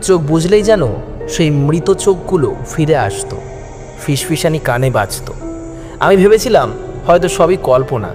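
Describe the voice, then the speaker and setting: A man's voice: on the high side, lively intonation, normal speed. A man narrating a first-person horror story in a suspenseful, theatrical style. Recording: music behind the voice. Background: background music.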